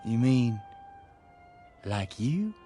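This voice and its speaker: Casting: touchy, down-home young American country boyfriend, mid-range voice, radio drama with faint music. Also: male voice, medium pitch, very animated, drawn-out words, mellow, husky, exaggerated voice. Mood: courting. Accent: rural American. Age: young adult. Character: folksy young country suitor, easily wounded.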